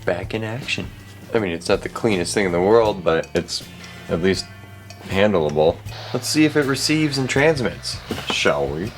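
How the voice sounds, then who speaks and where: A man with a low voice talks casually through a hands-on repair. He rambles and jokes in a wry, sweary way as host. Faint music sits behind him. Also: animated, moderate pace.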